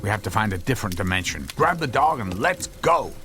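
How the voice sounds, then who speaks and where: An actor playing an anxious, guilt-ridden inventor in a radio drama, speaking hesitantly with stammers. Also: male voice, fairly low pitch, very animated, brisk, heavy background noise. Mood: desperate, urgent. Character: anxious, guilt-ridden male inventor hopping between dimensions.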